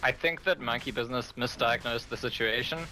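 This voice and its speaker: Bright-voiced South African analyst. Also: male voice, medium pitch, brisk, a little hiss or hum, rough recording. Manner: thoughtful, explaining, laughs lightly. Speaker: esports co-commentator. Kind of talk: analytical esports color commentary.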